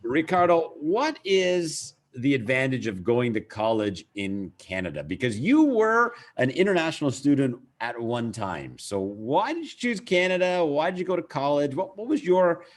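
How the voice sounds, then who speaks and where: A man's voice: a little high, normal speed, few pauses. A man hosting a school webinar, enthusiastic and full of fillers. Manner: lively, enthusiastic, frequent fillers. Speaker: webinar host.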